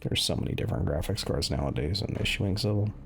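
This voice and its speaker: Low, gravelly-voiced man commentating. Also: expressive, quick pace. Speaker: gaming video host, commentator. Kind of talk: casual off-the-cuff commentary over game benchmark footage. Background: music under the voice.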